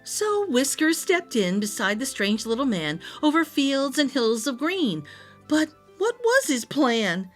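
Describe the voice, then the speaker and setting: A woman reading a rhyming picture book aloud to young children, expressively, with deliberate dramatic phrasing. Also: high voice, sing-song, faint music behind. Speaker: storytime reader.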